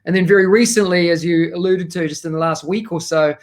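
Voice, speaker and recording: Upbeat New Zealand man, slightly high voice. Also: brisk. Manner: enthusiastic, many fillers. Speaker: guest, education consultant. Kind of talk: casual podcast interview.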